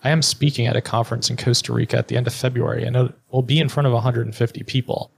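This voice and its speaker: A man's voice: mid-range voice, flat delivery, very fast, vocal fry, slight background noise. A middle-aged man speaking frankly and bluntly in a conversational interview, now and then swearing. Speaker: podcast guest, therapist and entrepreneur.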